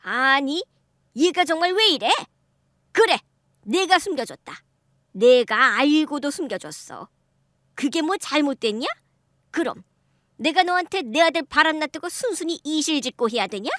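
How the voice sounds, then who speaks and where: A woman's voice: sits high, lively intonation, a little slow, pauses often, a bit bright, no echo, clean studio sound, pitched above the performer's own voice. A woman voicing a harsh, contemptuous middle-aged mother-in-law who scolds shrilly. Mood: defiant.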